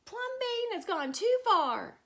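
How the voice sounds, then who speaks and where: A woman voicing a crowd of prim, scandalized neighbors, shouting in high, indignant exclamations. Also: big pitch swings, slow, full character voice. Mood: outraged.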